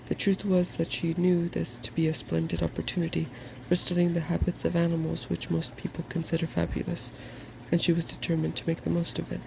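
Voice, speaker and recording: A soft-spoken narrator gently reading a fairy tale in an ASMR style. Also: medium pitch, monotone, brisk, gravelly, hardly any pauses, noisy background.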